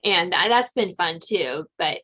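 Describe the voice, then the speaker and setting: A woman's voice: fairly low pitch, animated, a little slow, bright, forceful tone. Chatty, rambling American woman. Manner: chatty and rambling, frequent "you know". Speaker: podcast host and suspense novelist. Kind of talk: casual conversational interview.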